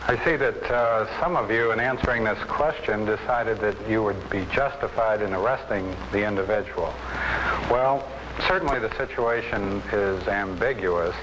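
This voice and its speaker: An American man lecturing a class as an instructor, deliberate, formal and didactic. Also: on the low side. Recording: noisy old training film soundtrack.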